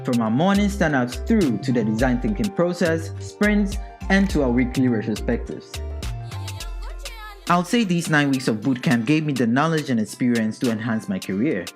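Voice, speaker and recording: A man's voice: medium pitch, expressive, moderate pace. A man giving an earnest, reflective scripted voice-over narration. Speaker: channel host. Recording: voice-over with loud music. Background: music.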